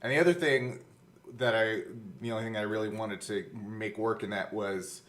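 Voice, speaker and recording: A man's voice: lowish voice, fairly even intonation, normal speed, fairly bright tone. A man co-hosting a casual chat, explaining his thinking in circling, self-correcting phrases. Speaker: podcast co-host. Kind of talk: casual behind-the-scenes chat.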